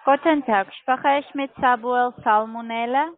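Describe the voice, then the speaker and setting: A woman's voice: a little high, measured pace. A woman with a foreign accent, speaking non-native German as a direct, businesslike telemarketing caller. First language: not German. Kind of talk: sales phone call. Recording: tinny phone line.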